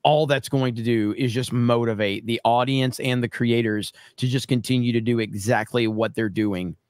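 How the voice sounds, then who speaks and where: Hoarse man chatting on a livestream. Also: mid-range voice, normal speed, dry recording, clean studio sound. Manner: animated storyteller, laughs often, rambling restarts. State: losing his voice. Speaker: show host. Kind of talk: casual livestream chat. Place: home streaming room with purple lights. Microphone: studio mic on arm, webcam.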